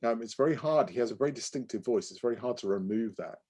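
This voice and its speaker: A man co-hosting a podcast, talking casually in an animated, digressive way as he reviews things. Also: mid-range voice, fast, slightly rough. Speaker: podcast co-host.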